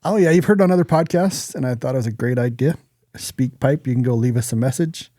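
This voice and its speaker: A man's voice: mid-range voice, a little fast, dark tone, no echo, clean studio sound. A man co-hosting a podcast in casual, joking chat with some swearing. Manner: informal, joking, profane. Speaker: podcast co-host.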